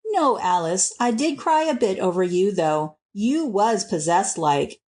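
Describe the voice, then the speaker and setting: Female reader as young rustic working man, storybook, plain-spoken, lovestruck, very animated intonation. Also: medium pitch, unhurried, natural voice. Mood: frank. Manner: rustic dialect speech.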